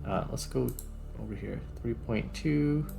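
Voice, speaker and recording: A man giving casual gameplay commentary, thinking aloud in short, halting phrases. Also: medium pitch, creaky, over music. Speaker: gaming video host.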